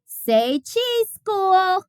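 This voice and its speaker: A woman voicing an excitable, stubborn small girl in a storybook, with an emphatic, whiny delivery. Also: very high voice, drawn-out words, no echo, clean studio sound, pitched above the performer's own voice, full character voice. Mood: excited, playful.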